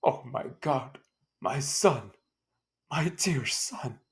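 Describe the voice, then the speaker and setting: A woman's voice: rumbling low, expressive, drawn-out words, heavily acted. A woman voicing an anxious, doting older mother, fretful and exclaiming, in a storybook. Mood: tender, distressed. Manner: fretful, exclaiming, sharp with husband.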